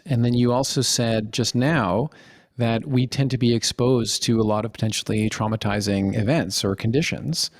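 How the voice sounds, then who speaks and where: A man hosting a podcast, asking long follow-up questions and stumbling over restarts as he thinks aloud. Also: medium pitch. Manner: stammering restarts, curious. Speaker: podcast host. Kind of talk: interview questions.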